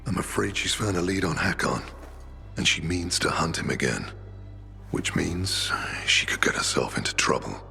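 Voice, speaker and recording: Weary older veteran leader, extremely low, heavy fry, grave and deliberate, video game drama. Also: male voice, lively intonation, bright, forceful tone, in a whisper, faint music behind, full character voice. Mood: worried. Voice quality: gravelly, rough. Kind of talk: video game cutscene acting.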